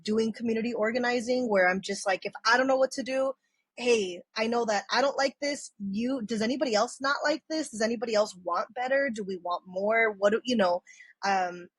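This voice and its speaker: A husky woman in her thirties from San Francisco, recorded dry and close. She talks as a podcast guest, telling loose stories that are full of "um" and "like" and that restart mid-sentence. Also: a little high, no room sound. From San Francisco, USA. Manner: rambling, many fillers and restarts, swears casually. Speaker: podcast guest and community organizer. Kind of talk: casual storytelling chat.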